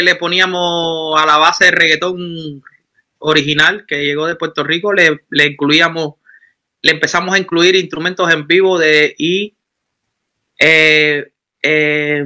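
Bright-voiced Cuban man telling his story. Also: a little high, measured pace. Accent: Cuban Spanish. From Cuba. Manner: animated, rambling with frequent restarts. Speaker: musician guest. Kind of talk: casual interview talk.

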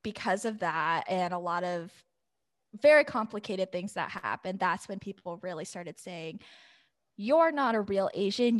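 A woman's voice: mid-range voice, no echo. A young adult woman speaking as a podcast guest, telling personal stories in a chatty, informal and long-winded way. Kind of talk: casual conversational personal storytelling.

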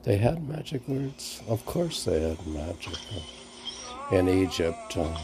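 A man talking casually in a halting, musing, repetitive way and reciting poetry as a podcast host, on an amateur recording. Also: low voice, big pitch swings, noisy background. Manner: halting, musing, repeating phrases. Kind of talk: rambling casual talk and poem recitation.

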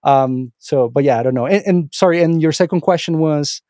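A man's voice: fairly high pitch, fairly quick, mellow, no echo, clean studio sound. A middle-aged man from Venezuela with a Venezuelan Spanish accent, talking casually as an interview guest, rambling with many restarts and fillers. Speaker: interview guest, instructor and author.

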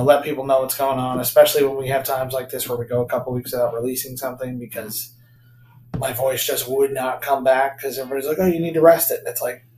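A man's voice: medium pitch, a little mellow. A man co-hosting a casual podcast, talking in a rambling way with lots of fillers and frequent laughs. His voice is in the middle range and sits in a roomy, echoey space with a faint hum of ambience behind him. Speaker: podcast co-host. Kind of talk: casual chat.

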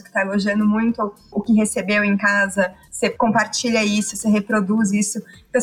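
A woman's voice: a little high, breathy edge, rough recording. A woman with a São Paulo Brazilian accent, explaining and telling stories conversationally in an enthusiastic way.

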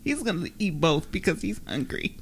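A woman's voice: on the low side, very animated, normal speed, slight background noise. A woman hosting a podcast in casual chat, with a playful, exclamatory manner and frequent laughter. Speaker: podcast host.

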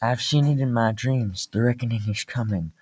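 A woman voicing the men in her story, an odd old prophet and a young sailor, in a deep, gruff put-on voice. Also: moderate pace, a little mellow, slight background noise. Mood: urgent. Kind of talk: amateur storytelling. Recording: distant from the mic.

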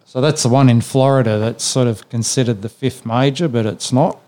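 A man's voice: mid-range voice, normal speed, darkish tone. A man with an Australian accent hosting a sports memories podcast, chatting casually and filling his talk with "yeah"s and "you know"s. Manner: rambling, with many fillers and "yeah"s. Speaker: podcast host.

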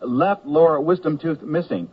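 A timid, obedient army clerk in a radio comedy. His voice is fairly high and husky, with confused hesitation. The vintage radio recording is muffled. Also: moderate pace. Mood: timid, puzzled. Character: timid, confused young male private, dental clerk.

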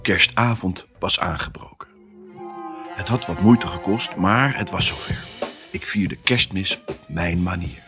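A male actor voicing an excitable pet dog who tells his own Christmas adventure in an expressive, theatrical family audio drama style. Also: low voice, a little slow, music in the background. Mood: cheerful storytelling. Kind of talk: first-person storytelling in a family audio drama.

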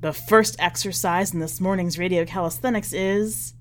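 A woman's voice: lowish voice, normal speed, close to an everyday voice. Woman putting on a formal Soviet radio announcer. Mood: brightly official.

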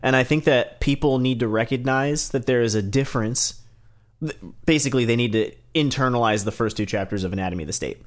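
A man's voice: medium pitch, fast. A man co-hosting a casual podcast, talking earnestly and emphatically about politics and government. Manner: earnest, emphatic, argues from data. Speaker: podcast co-host.

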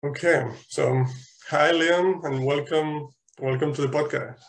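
A man's voice: fairly high pitch, steady tone, unhurried, rough recording. A man hosting a podcast, asking questions in a loose way full of "you know" fillers. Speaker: podcast host. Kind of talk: casual interview hosting.

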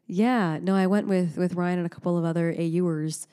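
A woman's voice: fairly low pitch, fairly even intonation, moderate pace, mellow, clear, resonant tone. A woman in her thirties, co-hosting a podcast in casual chat, a chatty storyteller who laughs often. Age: 30s. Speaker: podcast co-host.

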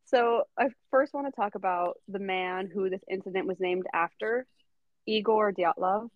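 A woman's voice: medium pitch, normal speed, dry recording, narrow, tinny audio. A woman hosting a podcast, telling a story in casual chat, giggling often and full of fillers. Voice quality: giggly, chatty, filler-heavy. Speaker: podcast host.

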